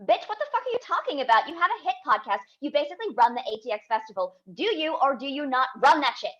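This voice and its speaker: A woman's voice: high-pitched, sing-song, fast, a little hiss or hum. A woman acting a brash, pushy young tech entrepreneur with a bossy, gushing manner in a comedy table read, on a lo-fi microphone. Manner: loud-mouthed, bossy, gushing. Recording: dull, lo-fi remote microphone.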